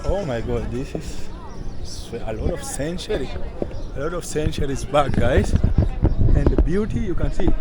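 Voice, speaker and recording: A young man from Sudan, vlogging casually outdoors at a temple and talking straight to his viewers. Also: a little high. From South Sudan. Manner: chatty, keeps addressing viewers as guys. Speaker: travel vlogger. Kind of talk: casual travel vlog. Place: outdoors at a busy temple site. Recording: street noise outdoors.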